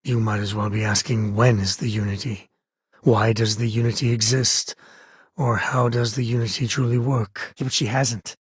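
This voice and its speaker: An actor playing a rugged frontier space-cowboy companion in a video game, a wry, protective father with a husky American voice and a folksy, earnest way of talking. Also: fairly low pitch, flat delivery, normal speed, no echo, studio quality. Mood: earnest, measured. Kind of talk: video game character voice acting.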